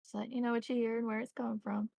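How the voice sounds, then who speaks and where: Creaky-voiced woman running a game. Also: a little high, little pitch movement, fast, a little mellow, no room sound. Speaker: game master. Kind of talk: narrating a tabletop roleplaying game with casual banter.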